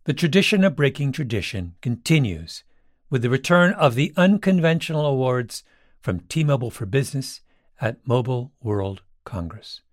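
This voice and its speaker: Dry close sound, male ad reader, medium pitch, conversational scripted delivery. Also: big pitch swings, moderate pace, no room sound. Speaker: advertisement reader.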